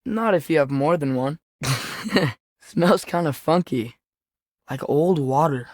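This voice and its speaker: A man's voice: on the high side, takes it slowly, no room sound, studio quality. A young male actor playing an earnest, curious, impulsive teenage boy in a Norse myth video game, speaking naturally with a hesitant, boyish manner.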